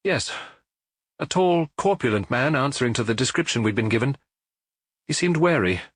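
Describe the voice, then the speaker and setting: Man as earnest young policeman, lively intonation. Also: mid-range voice, normal speed, no room sound, clean studio sound, pitched above the performer's own voice, natural voice. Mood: earnest, apologetic. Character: young male policeman, earnest, apologetic subordinate.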